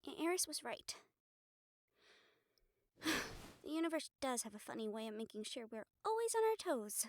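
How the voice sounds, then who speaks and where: A woman acting as a newborn vampire with a notably high feminine voice. She is emotive and troubled, breaking her phrases with sighs and pauses in an audio drama style, recorded close and dry. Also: very animated, no room sound. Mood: wistful, subdued. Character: angry, hurt newborn vampire woman, former hunter, venting to partner.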